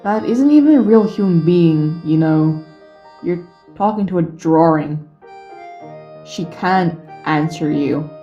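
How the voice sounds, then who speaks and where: A man playing a weary, sarcastic survivor who narrates life after the apocalypse in a deliberate, sighing, dramatic way. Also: fairly high pitch, lively intonation, lo-fi sound. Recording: music behind the voice. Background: music.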